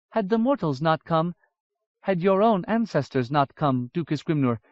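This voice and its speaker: A man's voice: sits high, dry recording, voice pushed up. A man voicing a grave, sorrowful immortal elf woman. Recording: dull lo-fi audiobook recording.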